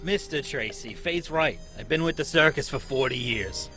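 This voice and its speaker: A man's voice: medium pitch, normal speed, sharp tone, faint music behind, lightly acted. A man reading a polite, placating veteran circus manager in a live old-time radio table read. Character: smooth older male circus manager, placating.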